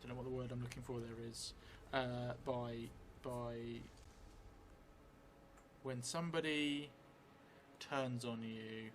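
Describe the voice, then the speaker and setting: A middle-aged man tells an improvised story as he plays a solo tabletop game. His speech is slow and halting, as if dictating while he writes, with little movement in pitch. There is clear noise behind his voice. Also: steady tone. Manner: halting, thinking aloud, slowly dictating as he writes. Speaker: solo role-playing streamer. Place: indoor theatre room, seated at a table. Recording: clearly noisy room recording.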